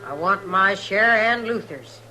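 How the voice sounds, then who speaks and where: A woman's voice: mid-range voice, expressive, measured pace, crowd noise, close to an everyday voice. A woman playing a hard, bitter frontier mother and rustler, curt and defiant, in a 1950s radio western. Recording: noisy 1950s radio drama recording.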